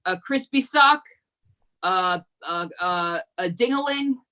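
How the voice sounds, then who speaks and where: Slow deadpan woman doing one-liners. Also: on the low side. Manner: slow, deadpan delivery with giggles between jokes. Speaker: stand-up comedian.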